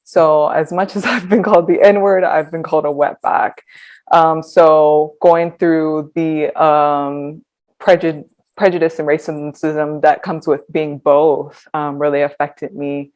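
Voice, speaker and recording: A woman in her twenties, a podcast guest talking reflectively, pausing often with ums. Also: low-pitched, little pitch movement, darkish tone. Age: 20s. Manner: reflective, hesitant with frequent ums. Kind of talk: conversational interview.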